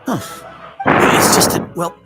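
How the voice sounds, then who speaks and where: A man's voice: takes it slowly, close to an everyday voice. A man playing a cheerful, talkative, easygoing villager in an anime game. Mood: cheerful, chatty. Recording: loud music under the voice.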